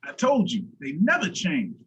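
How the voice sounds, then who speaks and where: A man's voice: fairly high pitch, huge pitch swings, normal speed, fairly bright tone, dull audio. A man playing a contemptuous, villainous prison officer in a script table read.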